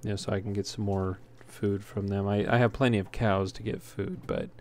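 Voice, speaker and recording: A man giving casual gameplay commentary in a halting way, as if thinking aloud while he plays. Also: fairly low pitch, steady tone, a little mellow. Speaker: let's player, host. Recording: clear background noise.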